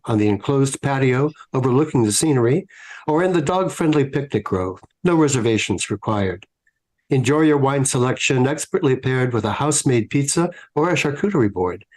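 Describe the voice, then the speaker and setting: A man's voice: medium pitch, animated, a little fast, a little mellow, poor audio. A man announcing and narrating in a scripted, old-time radio show style.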